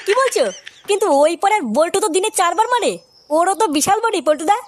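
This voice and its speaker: Squeaky, very fast young slacker. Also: male voice. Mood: pleading, admiring. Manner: slangy, cheeky. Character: cheeky, scheming young village slacker in a comedy cartoon. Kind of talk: foul-mouthed comedy cartoon dialogue.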